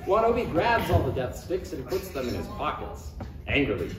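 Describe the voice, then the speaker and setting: Animated male narrator in an echoey room. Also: a little high, normal speed, crowd noise. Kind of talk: reading comedy script stage directions. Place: echoey room table read. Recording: distant, echoey, noisy room recording.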